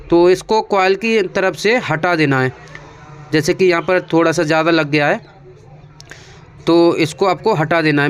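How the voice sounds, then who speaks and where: A man's voice: high-pitched, a bit bright. A man with an Indian accent narrates a hands-on repair tutorial as a technician, explaining step by step in a conversational way and often repeating his instructions. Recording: clearly noisy background.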